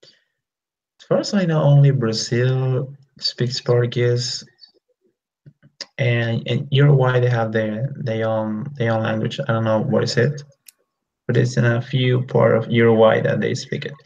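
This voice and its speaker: Halting man on a dull lo-fi call line. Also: medium pitch, level tone, gravelly, a little mellow. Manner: halting, searching for words with many fillers. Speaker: learner on a practice call. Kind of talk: casual chat over video call. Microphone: video call. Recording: dull lo-fi video call audio.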